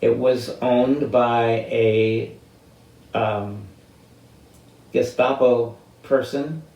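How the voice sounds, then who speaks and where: An elderly man in his eighties from the United States telling personal stories in an unscripted, halting, deliberate way, with long pauses and drawn-out words. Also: mid-range voice, steady tone, highs cut off. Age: 80s. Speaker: interviewee telling life stories. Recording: roomy, distant microphone.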